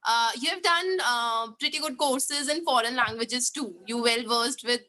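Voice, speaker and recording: Bright high-voiced woman host. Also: a little slow. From India. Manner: polite questioning, summarizes guest's points. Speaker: interview host.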